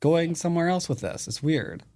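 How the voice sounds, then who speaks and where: A man's voice: on the high side, lively intonation, moderate pace, dark tone. Playful man trash-talking his game. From United States. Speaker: streamer and Go teacher. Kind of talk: live game commentary.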